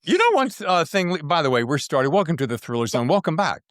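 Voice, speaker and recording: A man's voice: fairly high pitch, big pitch swings, fast, dry recording, studio quality. A middle-aged or older man hosting a podcast in a casual, playful and rambling way, with self-teasing asides. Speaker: podcast host.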